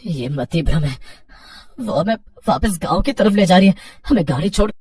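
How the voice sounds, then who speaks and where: A woman's voice: fairly low pitch, animated, normal speed, a little mellow, husky, distant mic, heavily acted. A woman voicing a frightened young man with urgent, dramatic delivery in a horror story.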